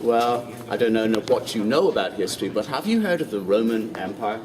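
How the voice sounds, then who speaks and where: A man guiding a walking tour outdoors, lively and quizzing the group with questions. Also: mid-range voice, brisk, crowd noise. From Ireland. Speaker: tour guide. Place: outdoors in old city streets. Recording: distant mic, street noise.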